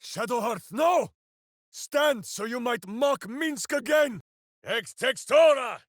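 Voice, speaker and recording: An actor playing a big, hearty, simple-hearted heroic warrior in a fantasy video game, earnest and grandiose in a theatrical way. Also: male voice, very high voice, lively intonation, slow pace, no room sound, studio quality, exaggerated voice. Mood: indignant, then shouted incantation. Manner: boisterous, earnest, grandiose, speaks of himself in third person.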